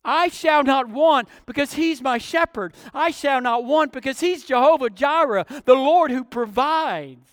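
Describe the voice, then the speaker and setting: A man's voice: very high-pitched, unhurried, few pauses, fairly bright tone, dry recording, studio quality, long reverb tail. A man preaching an expository sermon, with emphatic phrasing and repetition for effect.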